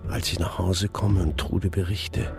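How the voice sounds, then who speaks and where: An actor playing a troubled, tender man, narrating in a hushed, subdued, gravelly voice in a radio drama. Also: sits low, little pitch movement, a little slow, music in the background. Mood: subdued worry.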